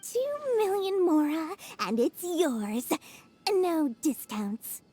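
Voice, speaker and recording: High-voiced, greedy, flattering lady merchant. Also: full character voice. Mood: firm. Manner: sales patter, gushing flattery, drawn-out words. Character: small shrewd female merchant, greedy, flattering, theatrical. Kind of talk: animated video game character acting.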